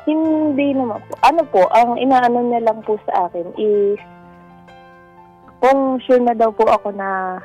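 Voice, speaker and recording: Mellow Filipino woman on a lo-fi call. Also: a little high, unhurried, long pauses, slightly rough. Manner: polite, deferential. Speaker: caller to a radio advice show. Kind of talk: personal storytelling, conversational. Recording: dull lo-fi call audio over music.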